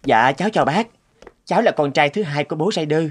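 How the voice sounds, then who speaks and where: Earnest young cartoon son. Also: male voice, a little high, expressive, fast talker, breathy edge. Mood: humble, anxious. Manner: polite, earnest, pleading. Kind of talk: animated moral-tale dubbing. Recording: music under the dialogue.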